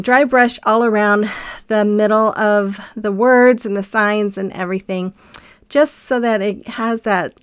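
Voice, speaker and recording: A woman's voice: mid-range voice, smooth, no echo. Chatty woman narrating a craft video. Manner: relaxed, chatty, with frequent ums. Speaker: craft channel host. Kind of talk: casual DIY voice-over narration.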